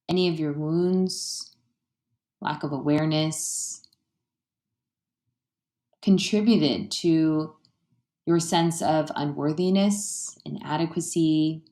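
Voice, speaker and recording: A woman with a low voice guides a meditation in an echoey, roomy space. Her delivery is gentle and unhurried, with soothing phrasing and pauses between thoughts.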